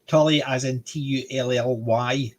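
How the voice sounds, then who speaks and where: A man's voice: medium pitch, fairly even intonation, measured pace. A Scottish man hosting a casual panel chat, chatty and opinionated, reading facts aloud. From Scotland.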